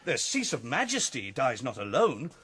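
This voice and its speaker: An actor playing a deferential, earnest courtier in a Shakespearean radio drama, with a husky voice and formal declamation. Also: male voice, mid-range voice, wildly swooping pitch, moderate pace, fairly bright tone, exaggerated voice. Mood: earnest, solemn. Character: deferential, earnest male courtier flattering his king.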